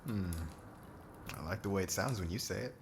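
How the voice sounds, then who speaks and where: Man playing a low-voiced, flirtatious warrior commander. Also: brisk, creaky, background noise. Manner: casual, slangy, teasing. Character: cocky, laid-back, flirtatious warrior commander. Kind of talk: ASMR roleplay acting.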